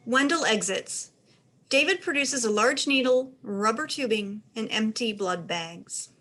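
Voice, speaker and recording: A woman serves as narrator of a play reading. Her voice is a little high, and she reads out scripted stage directions between the actors' parts. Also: animated, a little slow, pushed and bright.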